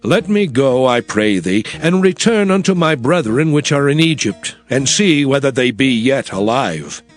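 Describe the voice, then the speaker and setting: An actor playing a humble, hesitant prophet who pleads earnestly and haltingly in a dramatized scripture recording. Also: male voice, mid-range voice, lively intonation, faint music behind, close to an everyday voice. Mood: humble request.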